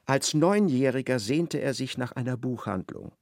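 A man narrating a literary radio drama in an expressive, storytelling manner. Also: mid-range voice, normal speed, dry recording, clean studio sound.

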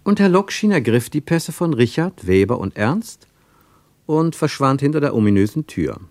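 Guy narrating a radio play, lowish voice, animated literary reading, dry studio sound. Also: moderate pace, no room sound.

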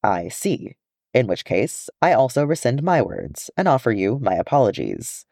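A man's voice: medium pitch, sing-song, moderate pace, gravelly, a little mellow, dry recording, clean studio sound. A man voicing a cold, haughty male elf from a storybook, speaking in clipped, formal phrases. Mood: stiffly formal.

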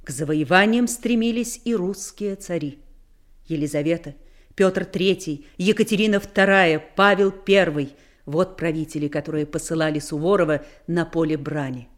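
A woman with a fairly low voice reads scripted historical narration. She is solemn and declamatory, weighting each phrase with deliberate emphasis.

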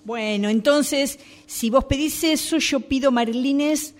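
A woman's voice: high-pitched, a little slow. A woman playing a picky, genteel lady diner with an Argentine accent in a comic radio play. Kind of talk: comic radio theatre acting.

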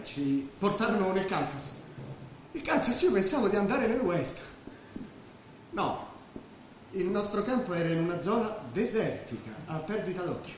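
An actor playing a disillusioned war veteran in his late twenties in a stage drama, restless and wistful. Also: high voice. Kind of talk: Italian stage drama acting. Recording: very echoey stage, distant, faint noise.

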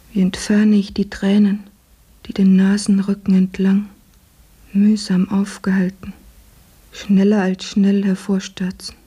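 An actress playing an embittered, hard-working housewife who was once a maid, in a breathy, husky voice for a radio play. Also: female voice, mid-range voice, warm tone. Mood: hushed, anxious.

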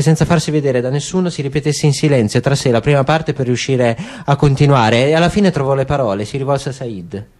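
A man narrating a novel for a radio reading. His voice sits at an ordinary pitch, and his delivery is steady and clear.

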